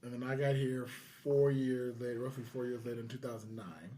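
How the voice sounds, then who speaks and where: A man's voice: medium pitch, fairly even intonation, a little fast, warm tone, a little hiss or hum, low-quality recording. A man hosting a podcast, chatting casually. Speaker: podcast host.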